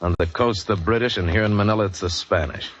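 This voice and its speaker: A restless American sea captain played by a man in an old radio adventure, brooding and romantic. His voice is low, on a muffled recording with clear background noise. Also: fast, fairly bright tone, close to an everyday voice. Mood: weary brooding. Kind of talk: 1940s radio drama acting.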